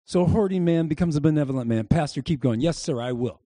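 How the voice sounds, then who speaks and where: High-voiced man preaching, emphatic, dry sound. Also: fast talker, mellow, no room sound. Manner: emphatic preaching with audience call-and-response. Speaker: pastor. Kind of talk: sermon. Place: church service before a congregation.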